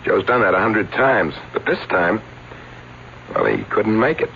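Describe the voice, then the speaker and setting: A low-voiced, guarded showman's associate. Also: unhurried. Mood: defensive, evasive. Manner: evasive, earnest, explanatory. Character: guarded, defensive stage escape-act associate, loyal. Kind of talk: 1970s radio drama acting. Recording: muffled, faintly noisy old radio broadcast.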